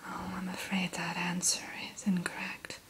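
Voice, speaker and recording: A woman in an ASMR roleplay who whispers gently and courteously as the keeper of a dragon hatchery. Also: lowish voice, completely flat delivery, moderate pace, a little hiss or hum.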